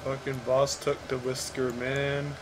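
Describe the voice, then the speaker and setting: A man's voice: medium pitch, monotone, unhurried. An American man from Northern California talking casually and in slang over gamer voice chat. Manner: slangy, informal. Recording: noisy online gaming voice chat.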